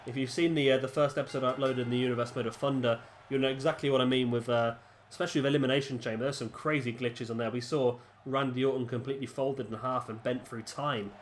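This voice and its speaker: A man's voice: mid-range voice, steady tone, quick pace. Guy with a blocked-up nose from a cold, rambling on over gameplay, faint hiss behind. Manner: rambling, chatty, many restarts. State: congested with a cold. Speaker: gaming commentator. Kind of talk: casual gameplay commentary.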